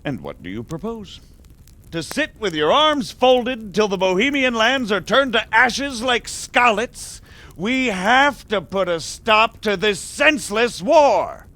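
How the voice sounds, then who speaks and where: A man's voice: high voice, sing-song, takes it slowly, pushed and bright, exaggerated voice. Sweeping, emphatic medieval nobleman schemer. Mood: exasperated. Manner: emphatic, rhetorical, aristocratic. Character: shrewd scheming medieval margrave, persuasive and impatient. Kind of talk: dramatic game cutscene acting.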